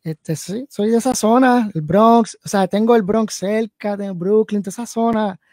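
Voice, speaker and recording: A man in his late thirties from Connecticut talks through a video call from his home studio. His voice is mellow and dark, and the sound is dry and close. He rambles, pausing to think aloud with fillers. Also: high-pitched, no echo. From New Haven, Connecticut, USA. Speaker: guest musician and producer. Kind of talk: casual interview chat. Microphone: headset and video call.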